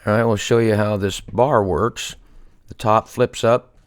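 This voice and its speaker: A man's voice: on the low side, steady tone, measured pace, no echo, studio quality. A man giving a casual, unscripted show-and-tell as a hobbyist presenter, hesitantly thinking aloud as he goes. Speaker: hobbyist restorer presenting a project.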